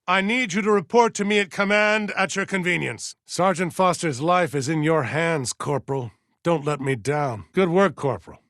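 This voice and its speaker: A man voicing a stern, aging militia colonel in a video game, formal and measured as he gives orders with deliberate pauses. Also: a little high, fairly bright tone, no echo, studio quality. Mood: calling out, insistent, then grave, urgent. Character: stern aging militia colonel, dutiful, zealous, wary, slightly forgetful. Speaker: military commander giving orders. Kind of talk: scripted video game character dialogue.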